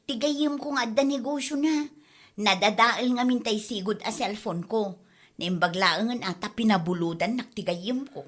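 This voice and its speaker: A woman's voice: fairly high pitch, normal speed, lightly acted. A woman acting an evasive, spendthrift young villager in an Ilocano comedy drama, with a Filipino Ilocano accent. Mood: making excuses.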